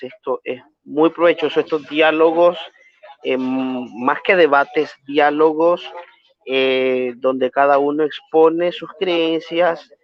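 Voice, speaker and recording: A man from Nicaragua with a Nicaraguan accent moderating an online debate, speaking formally with frequent hesitations. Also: on the high side. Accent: Nicaraguan Spanish. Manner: measured, formal, many hesitations. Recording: dull lo-fi online stream audio.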